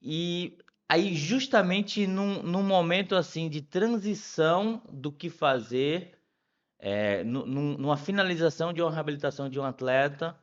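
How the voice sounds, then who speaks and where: A man's voice: on the high side, a little slow. Middle-aged Bahian man, slightly muffled audio. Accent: Bahian Brazilian Portuguese. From Salvador, Bahia, Brazil. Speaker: podcast guest, physiotherapist. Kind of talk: casual conversational storytelling.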